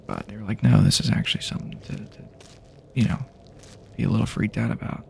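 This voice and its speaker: A man improvising an ASMR roleplay monologue in a soft, breathy half-whisper, rambling hesitantly with frequent pauses. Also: fairly low pitch. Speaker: ASMR performer playing a companion on a post-apocalyptic journey. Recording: faint background ambient noise.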